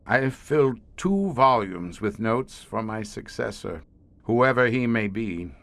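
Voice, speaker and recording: An actor playing a grim, weary older commander of a secret monster-hunting police squad in an audio horror drama, speaking in florid, deliberate phrases. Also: male voice, lowish voice, expressive, creaky, fairly bright tone, exaggerated voice. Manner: eloquent, florid, deliberate speech.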